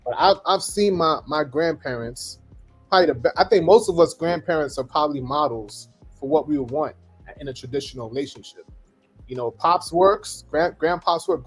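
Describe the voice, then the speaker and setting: A man's voice: a little high, breathy edge. A man from Trinidad, co-hosting a casual podcast chat and talking bluntly with jokes and repeated words. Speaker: podcast co-host.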